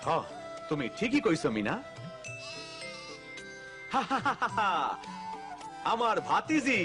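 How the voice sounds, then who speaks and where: A man voicing an excitable, grumbling village grandfather in a cartoon, with a Bangladeshi accent and a blustering, exclamatory delivery. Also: very high voice, slow pace, over music, full character voice. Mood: pleased. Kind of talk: cartoon voice acting.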